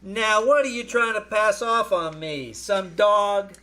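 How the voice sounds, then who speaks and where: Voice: mid-range voice, takes it slowly, bright, forceful tone. An actor playing a gullible teenage boy, eager to please yet reluctant, in old-time radio comedy style. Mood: eager, wary. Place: live on a small theater stage.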